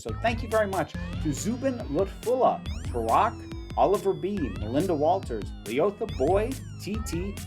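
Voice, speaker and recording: Friendly, expressive male-sounding host in their thirties. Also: a little high. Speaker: host, interviewer. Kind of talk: casual presenting to viewers. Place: indoor room with shelves of toys.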